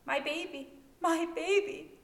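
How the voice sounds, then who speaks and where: A woman's voice: steady tone, slow, vocal fry, heavily acted. A woman voicing a desperate, dying pregnant witch, gasping, pleading and crying out shrilly in an audiobook-style story. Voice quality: shrill, screaming. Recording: echoey room with background noise.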